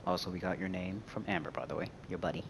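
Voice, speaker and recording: Very low-voiced woman reacting to a game. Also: steady tone, fast, very noisy. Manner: wry, playful asides. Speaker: gaming streamer. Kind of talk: casual game reaction commentary. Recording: game music behind voice. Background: game audio and music.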